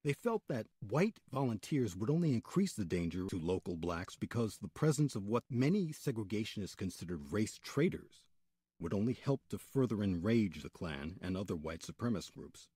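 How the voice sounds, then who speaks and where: Guy hosting radio history show, husky voice, very lively delivery, scripted storytelling. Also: lowish voice, moderate pace, no echo, studio quality. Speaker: radio program host and narrator.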